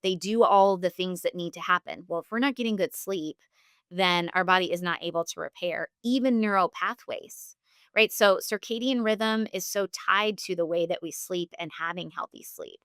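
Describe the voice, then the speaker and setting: A woman's voice: fairly low pitch, normal speed, a bit bright, clear, resonant tone, no room sound, clean studio sound. A woman speaking as a health practitioner and interview guest, giving long, earnest, instructive explanations.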